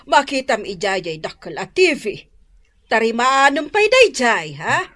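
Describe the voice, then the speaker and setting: A woman acts a well-off, bossy auntie in an Ilocano radio drama. She speaks high, with animated swoops and an Ilocano accent, in an instructing way. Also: moderate pace. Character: well-off older auntie giving out household chores.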